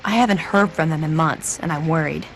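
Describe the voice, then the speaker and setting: A woman's voice: deep voice, fairly quick, soft background music, rough recording. A woman acting a casual, frank townswoman in a video game, talking informally and earnestly to a stranger. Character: casual, worried townswoman asking a stranger a favour.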